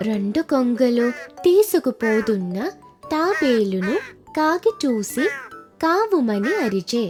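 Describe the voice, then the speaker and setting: Woman telling a kids cartoon story, slow and singsong, lively pitch, soft music behind. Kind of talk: children's cartoon narration.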